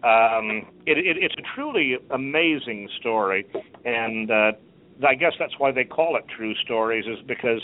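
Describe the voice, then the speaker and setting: A middle-aged man hosting a radio chat show, rambling and halting through many restarts. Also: mid-range voice. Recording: narrow phone-like line.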